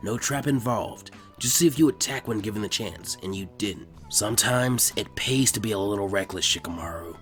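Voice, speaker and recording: A man's voice: fairly bright tone, soft background music, natural voice. A man voicing a lazy, laid-back middle-aged ninja father in an anime fan story, shrewd and drowsy in delivery. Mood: instructive, calm. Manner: low, drowsy, unhurried delivery.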